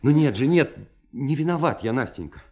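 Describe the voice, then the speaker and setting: An actor in a Russian radio play, voicing a shy, lovelorn young man who speaks haltingly and fervently, pleading and self-effacing. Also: medium pitch, animated, darkish tone, lightly acted. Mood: agitated, defiant.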